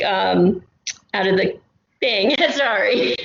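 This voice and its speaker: Female guest, mid-pitched, thoughtful casual conversation, muffled and echoey room recording. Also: slow, vocal fry, a bit bright. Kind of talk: casual discussion, reflective.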